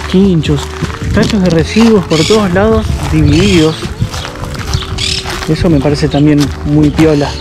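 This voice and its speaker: A man in his thirties with an Argentine accent, chatting casually to an action camera held at arm's length outdoors while touring by bike. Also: on the high side. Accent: Argentine Spanish. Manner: chatty, easygoing, thinks aloud with fillers. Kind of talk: casual travel vlog talk to camera. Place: outdoors at an abandoned campground.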